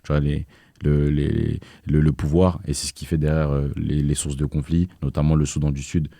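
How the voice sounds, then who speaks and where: Very deep young man, hesitant. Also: level tone, moderate pace, warm tone, no room sound, clean studio sound. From France. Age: young adult. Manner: hesitant, many fillers and restarts. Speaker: guest, activist. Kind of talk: casual interview conversation.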